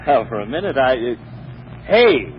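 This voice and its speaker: A man's voice: medium pitch, very animated, a little slow. A man acting as a blithe, chatty, tactless bumbler in 1940s radio style. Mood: cheerfully blundering. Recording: dull, noisy 1940s radio recording.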